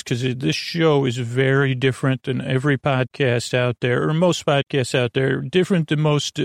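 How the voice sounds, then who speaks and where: A man with a creaky, soothing voice, hosting a bedtime podcast in a halting, meandering monologue full of tangents. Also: mid-range voice, no room sound, clean studio sound. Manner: lulling, soothing. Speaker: podcast host.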